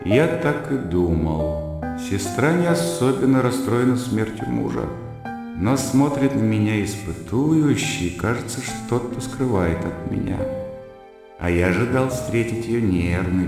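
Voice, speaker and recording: An actor in a radio play voicing a reserved young scholar's musing, dry inner monologue. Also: male voice, lowish voice, expressive, measured pace, creaky. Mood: puzzled, musing. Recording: heavy echo with music behind.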